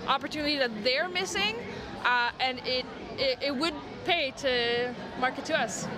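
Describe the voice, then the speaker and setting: A woman's voice: high-pitched, moderate pace. French-speaking woman over crowd chatter. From Montreal, Canada. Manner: many fillers, earnest and eager. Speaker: guest from a gaming organization. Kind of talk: convention interview. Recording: crowd noise behind.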